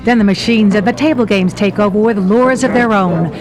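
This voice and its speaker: A woman delivers a scripted travel feature in a mid-pitched voice. Music plays clearly behind her as she narrates like a segment reporter. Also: fairly quick. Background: background music.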